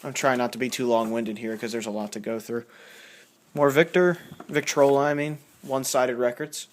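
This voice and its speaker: A man talks in a medium-pitched voice, casually and without a script. He rambles a little, thinking aloud and reading labels out as a collector showing off finds would. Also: fairly even intonation, normal speed, a little hiss or hum. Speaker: record collector showing a haul. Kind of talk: casual unscripted show-and-tell commentary.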